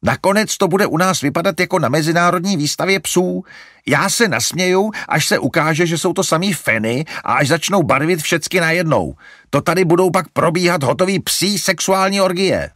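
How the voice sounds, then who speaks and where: A man's voice: on the high side, a bit bright, no echo, studio quality, voice pushed up. A man voicing an exasperated young man ranting indignantly at his family.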